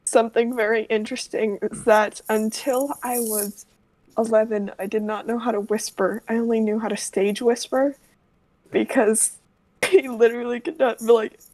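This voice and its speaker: Loud, chatty woman on voice chat. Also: a little high, fairly even intonation, moderate pace, no room sound, low-quality recording. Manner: chatty, self-deprecating. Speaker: player. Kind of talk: casual chat. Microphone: online voice chat.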